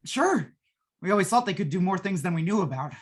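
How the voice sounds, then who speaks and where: Flustered company technician a man, played by a woman, husky, lowish, animated pitch, vintage radio sci-fi. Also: brisk. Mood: defensive, flustered. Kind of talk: 1950s old-time radio acting.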